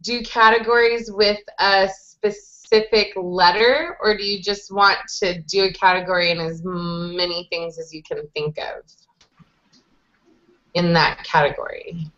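A woman's voice: on the low side, fairly even intonation, a little slow, fairly bright tone. Woman in her thirties, patient teacher, explains step by step, dull webcam audio, small room. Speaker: English conversation teacher. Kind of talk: casual online group lesson. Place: small indoor room with whiteboard. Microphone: webcam video call. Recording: dull lo-fi video call audio.

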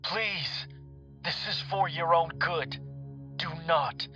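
A man voicing an unknown adult male texter who sends urgent, pleading warnings, in a much higher voice than his own. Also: takes it slowly. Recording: music bed behind voice.

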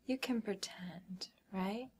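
A woman performing a whispered ASMR hypnosis role-play as a smug, controlling schoolgirl, with deliberate, commanding phrasing. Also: medium pitch, a little mellow, husky.

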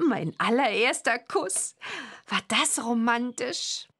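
A woman's voice: fairly high pitch, animated, slow pace, a little hiss or hum, exaggerated voice. A woman voicing a cheeky, cheerful young girl witch in a children's computer game, exclamatory and sing-song. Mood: fondly reminiscing. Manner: bright, exclamatory, sing-song when chanting spells.

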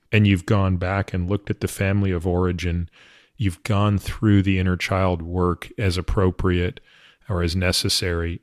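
A man's voice: deep voice, no room sound, clean studio sound. A man hosting a podcast, asking long, thoughtful questions. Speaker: podcast host. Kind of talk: interview questions.

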